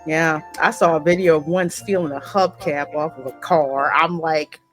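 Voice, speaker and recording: Low-voiced American woman chatting casually. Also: steady tone, normal speed, faint music behind. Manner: colloquial, playful. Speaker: gaming video host. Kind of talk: casual reaction chat with a co-host.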